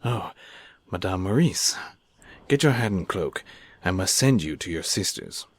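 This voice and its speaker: A man's voice: low-pitched, animated, a little slow, slightly rough, full character voice. A man playing a preoccupied, secretive young scientist in an old-time radio drama style, with a curt manner.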